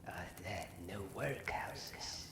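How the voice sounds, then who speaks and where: In an echoing space, a man acts a heartless old miser from a period drama. His low, half-whispered words come slowly, with cold contempt. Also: lively intonation, creaky, heavily acted. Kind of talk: period literary drama acting. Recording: echoey, distant, half-whispered.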